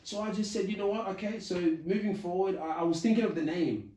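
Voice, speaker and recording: Animated man telling his story, echoey room. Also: high voice, fast, recorded from a distance. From Australia. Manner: animated storyteller, quotes his own thoughts, frequent "you know". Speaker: podcast guest, community founder. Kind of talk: casual interview chat.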